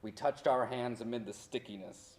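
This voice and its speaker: Guy acting a hurt professor telling his childhood story onstage, mid-range voice, reflective and deliberate. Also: medium pitch, close to an everyday voice. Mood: wistful. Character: wounded male history professor reliving his childhood loss. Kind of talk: dramatic monologue in a staged reading.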